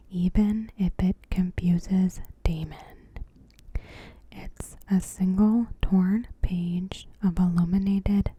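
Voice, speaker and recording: A woman whispers very softly and breathily, as an ASMR host reading a prepared recap. She takes her time, extremely slowly, with deliberate, gentle phrasing. Her tone is very soft-edged and mellow. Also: fairly low pitch, breathy edge, no echo.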